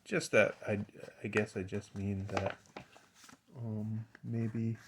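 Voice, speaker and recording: A man's voice: fairly low pitch, flat delivery, slow, slight background noise. A man giving a casual, unscripted show-and-tell as a collector, hesitant and rambling, often trailing off mid-thought. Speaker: record collector and seller showing items.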